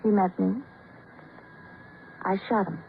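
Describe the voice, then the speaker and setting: A woman's voice: medium pitch, takes it slowly, creaky. An American woman playing a distraught, controlling wife in a 1950s radio drama, theatrical, insistent and brittle. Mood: insistent, confessing. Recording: scratchy old radio broadcast, narrow band, hiss.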